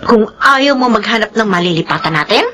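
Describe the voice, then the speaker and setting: A woman's voice: mid-range voice, lively intonation, a little fast, pushed and bright, faint music behind. A woman playing a resentful, long-suffering middle-aged wife and mother with plaintive emotional delivery in a Filipino radio drama. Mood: weary, bitter.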